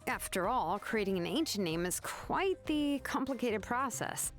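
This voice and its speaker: A woman's voice: on the high side, lively intonation, unhurried. A woman playing a composed young craftswoman in a video game, laid-back yet exacting, explaining things casually. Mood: matter-of-fact, explaining. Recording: game music behind the voice.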